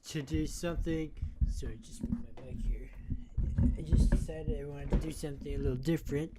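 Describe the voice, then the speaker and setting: A man's voice: a little high, fairly even intonation, very noisy. A man giving a rambling, hesitant video introduction, full of fillers and restarts. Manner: halting, hesitant, many fillers. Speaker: video commentator. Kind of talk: rambling monologue.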